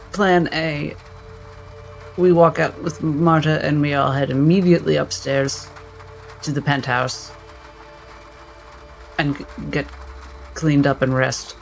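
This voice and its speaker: A man plays a brisk, pragmatic doctor in a fantasy roleplay. The voice is fairly high and creaky, with blunt, decisive delivery. Music runs faintly behind. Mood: weary, decisive. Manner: decisive, emphatic.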